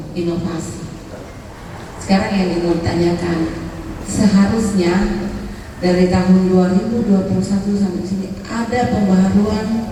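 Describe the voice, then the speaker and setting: A woman's voice: mid-range voice, dark tone, slight background noise. A woman on a judging panel, asking questions at length and adding joking asides. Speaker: competition judge. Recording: echoey hall, mic far off.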